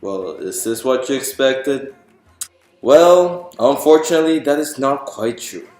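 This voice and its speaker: A man's voice: medium pitch, lively intonation, measured pace, darkish tone. A man reading a picture book aloud to an audience in an explanatory, storybook narration style. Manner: explanatory, poses questions to listeners and answers them. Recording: roomy echo with music behind.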